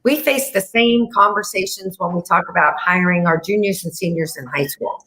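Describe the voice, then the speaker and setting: A woman's voice: lowish voice, normal speed, bright, forceful tone, poor audio. A middle-aged woman hosting an interview in a conversational style. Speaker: interview host.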